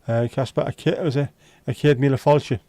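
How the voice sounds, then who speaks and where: A man's voice: medium pitch, steady tone, brisk, mellow, dry recording. A man hosting a casual livestream, reading out chat messages and teasing viewers with jokey, lightly sweary banter. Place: home livestream setup.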